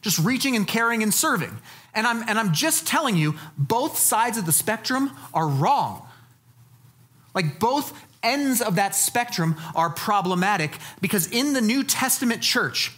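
American pastor preaching, folksy and emphatic. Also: male voice, sits high, sing-song, a little slow, fairly bright tone. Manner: emphatic, folksy, repeats phrases for weight. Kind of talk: sermon, conversational teaching. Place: church stage, indoors.

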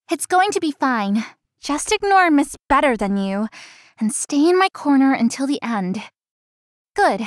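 High-pitched young woman, anxious florist, chatty, expressive, visual novel game, dry studio sound. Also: no room sound. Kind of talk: visual novel voice acting, inner monologue.

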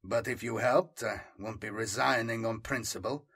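A man voicing an earnest, principled official in an audiobook, calm, guarded and quietly probing. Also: on the low side, normal speed, creaky, slightly rough, heavily acted. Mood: restrained.

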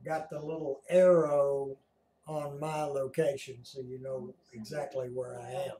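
An older American man chatting casually as he explains things as an amateur radio operator, halting and deliberate. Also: medium pitch, flat delivery, crowd noise. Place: small home radio room, indoors. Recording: roomy, distant from the mic.

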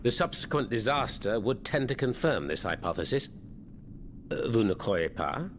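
Game role: theatrical underground French rebel male actor, French accent, very lively intonation, clearly noisy background. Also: mid-range voice, moderate pace, close to an everyday voice. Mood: conspiratorial. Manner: theatrical, florid, eloquent. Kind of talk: video game cutscene acting.

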